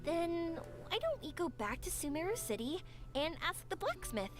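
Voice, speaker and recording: A woman's voice: very high-pitched, sing-song, moderate pace. A woman voicing a cheerful, earnest young woman who speaks reflectively. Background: game music.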